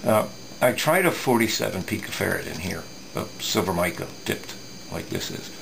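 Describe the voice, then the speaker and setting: A middle-aged man giving a casual hands-on technical explanation, thinking aloud haltingly with folksy asides. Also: sits low, expressive, creaky, background noise. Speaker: radio repair hobbyist presenting. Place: indoor electronics workbench.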